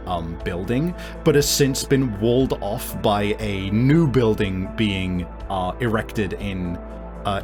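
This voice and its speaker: A man improvising narration as game master of a tabletop game, in a halting, conversational way. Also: fairly low pitch. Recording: music behind the voice. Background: music.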